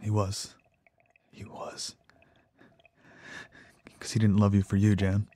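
A man's voice: fairly low pitch, monotone, slow pace, dark tone. A man playing a nervous, lovesick lab assistant in an audio drama, hesitant and fervent, with a tendency to stammer. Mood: tender.

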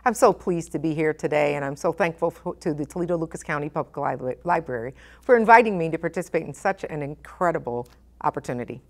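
A middle-aged woman presenting a children's storytime, speaking expressively and with deliberate phrasing for young listeners. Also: deep voice, a little mellow. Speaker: guest storytime reader, nonprofit president. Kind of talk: children's picture book read-aloud. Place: indoor storytime set at a library.

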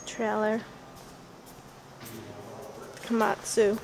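A woman's voice: medium pitch, monotone, drawn-out words. A woman from Western New York, giving an informal walking tour of an auction warehouse on a handheld camera and correcting herself as she goes. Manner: plain, informal, self-correcting as she walks. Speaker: auction house staff giving a walkthrough. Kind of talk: casual walkthrough narration. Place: large indoor vehicle warehouse. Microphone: handheld camera mic while walking. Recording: noisy warehouse camera audio.

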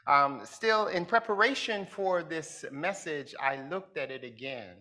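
A middle-aged man preaching as a pastor from a pulpit in a large church hall, speaking deliberately and building emphasis. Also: a little high, animated, pushed and bright. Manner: slow, deliberate delivery with rising emphasis. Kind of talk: sermon.

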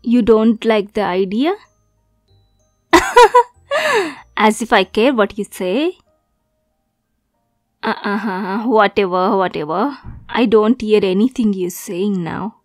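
A woman's voice: mid-range voice, no echo, studio quality, faint music behind, lightly acted. A woman playing a young, obsessive yandere girlfriend kidnapper in an ASMR roleplay, cutesy and teasing with sweet menace. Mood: playful, possessive. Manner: slow, cutesy, teasing, breathy asides.

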